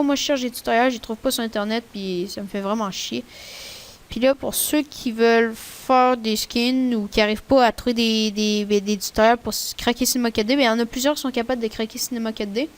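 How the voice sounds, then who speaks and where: A woman with a Québécois French accent, rambling casually through a screen-recorded software tutorial in a chatty, slangy way. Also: a little high. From Quebec. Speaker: tutorial maker.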